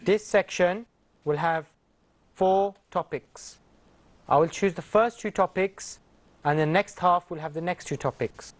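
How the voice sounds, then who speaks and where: Upbeat quiz show host. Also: male voice, high voice, unhurried. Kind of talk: hosting a TV quiz. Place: TV studio. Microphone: lapel mic.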